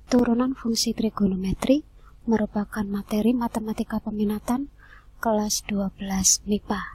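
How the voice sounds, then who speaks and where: Breathy woman teaching math. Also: medium pitch. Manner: steady step-by-step explaining. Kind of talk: scripted lesson narration.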